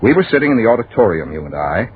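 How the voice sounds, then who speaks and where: A man's voice: fairly low pitch, very animated, very fast. An actor playing a haunted man obsessed with death, earnest and overwrought in a 1940s radio drama monologue. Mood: fervent relief. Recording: tinny 1940s radio, faint noise.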